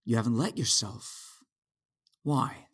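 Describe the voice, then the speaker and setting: A slow, breathy fatherly consoler. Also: mid-range voice, a bit bright, heavily acted. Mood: gentle, consoling. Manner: soothing, patient. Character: gentle, patient fatherly man consoling a youth. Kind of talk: audio drama acting.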